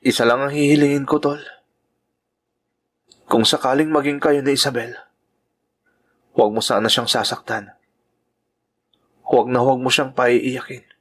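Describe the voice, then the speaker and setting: A man's voice: mid-range voice, fairly even intonation, normal speed, long pauses, a bit bright, lightly acted. A man with a Filipino accent acting an earnest, self-sacrificing young lover and devoted brother in a sentimental Tagalog radio drama. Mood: solemn, pleading. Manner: earnest, sentimental delivery, mixing in English phrases.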